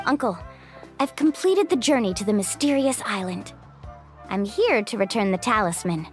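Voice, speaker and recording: A woman's voice: medium pitch, expressive, normal speed, natural voice. A woman voicing a spirited, friendly young female guide in a video game, upbeat and sincere. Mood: earnest, curious. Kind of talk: scripted game dialogue. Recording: background music under the dialogue.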